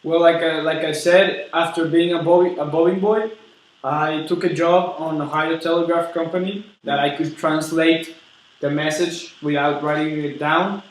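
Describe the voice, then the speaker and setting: A man playing an eager, polite steel tycoon in a role-played interview, earnest and halting as he repeats words. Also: on the high side, steady tone, distant mic, lightly acted. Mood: proud. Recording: very echoey room with background noise.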